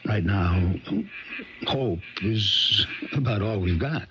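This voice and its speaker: An actor playing a friendly, folksy small-town sheriff in a 1970s radio drama, probing gently and hesitating as he goes. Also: fairly low pitch, slow pace, very noisy. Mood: reassuring, grave. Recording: dull 1970s radio broadcast recording.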